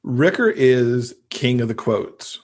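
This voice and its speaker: A man's voice: mid-range voice, animated, slow, darkish tone. A man from the United States, a podcast guest telling stories casually and enthusiastically. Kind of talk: casual conversational storytelling.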